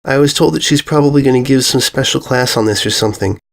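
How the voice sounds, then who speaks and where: A man voicing a shy, anxious young schoolgirl pony in a storybook reading, stammering and hushed. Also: mid-range voice, quick pace, creaky, pitched above the performer's own voice, natural voice. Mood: nervous, hushed.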